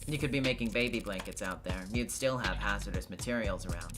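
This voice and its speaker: A man's voice: on the low side, fairly quick, over music, lightly acted. A man voicing a cool, sardonic investigator in video game dialogue, questioning in a dry, teasing and pointed way. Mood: measured, deductive. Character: cool, sardonic, probing investigator interrogating a suspect.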